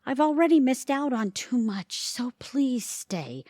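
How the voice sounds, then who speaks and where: A woman playing a middle-aged mother and wife in an audio drama, earnest and wry, with deliberate, theatrical delivery. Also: sits high, very animated, darkish tone, no echo, studio quality, heavily acted.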